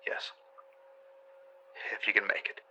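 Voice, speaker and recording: An actor in a radio drama playing a caring older brother who halts and stutters on the phone. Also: male voice, sharp tone, a little hiss or hum. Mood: somber. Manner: halting, stuttering.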